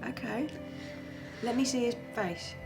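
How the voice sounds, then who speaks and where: A woman's voice: fairly high pitch, measured pace, gravelly, darkish tone, faint music behind. A woman with a British accent chatting casually as a documentary participant. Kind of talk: casual chat. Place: home conservatory.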